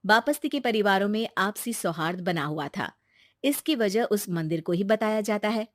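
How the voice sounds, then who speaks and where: A woman's voice: mid-range voice, normal speed, dry recording, clean studio sound. A woman reading scripted narration for a children's moral story.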